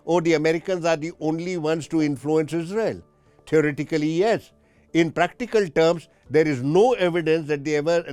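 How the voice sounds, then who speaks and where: A man speaking as a diplomat guest, giving formal, emphatic and deliberate analysis in an interview. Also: on the high side, darkish tone, smooth, no echo.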